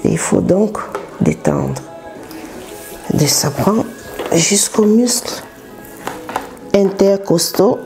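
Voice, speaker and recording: A woman from southern Cameroon with a Cameroonian accent, a therapist explaining her work in an unscripted interview, in halting phrases with pauses. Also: mid-range voice, wildly swooping pitch, over music. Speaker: massage therapist and business owner, interview guest.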